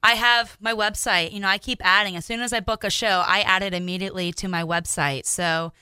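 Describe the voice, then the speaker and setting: A woman's voice: medium pitch, steady tone, a little fast, bright, forceful tone, smooth, dry recording. A woman from northwest Ohio, a singer chatting and promoting herself as a guest in a radio interview. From northwest Ohio, United States. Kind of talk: friendly promotional interview chat.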